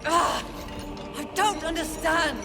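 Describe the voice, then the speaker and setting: An actress playing a fierce, imperious horsewoman of the apocalypse in a video game, with a sharp, commanding, dramatic manner. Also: very high voice, fairly even intonation, slow pace, exaggerated voice. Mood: anguished, torn. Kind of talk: video game cutscene acting. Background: music.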